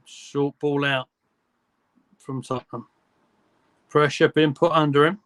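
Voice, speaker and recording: A young man giving live play-by-play commentary on a football match for fans, in brisk, clipped calls. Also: on the high side, fairly even intonation, fairly bright tone. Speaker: fan commentator.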